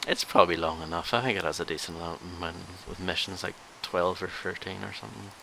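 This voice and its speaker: A man's voice: low voice, steady tone, fast, vocal fry, bright, forceful tone, low-quality recording. A man who hosts a podcast, chatting casually about video games and debating in a hesitant, defensive way. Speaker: podcast host. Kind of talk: casual video game review chat. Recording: hissy background noise.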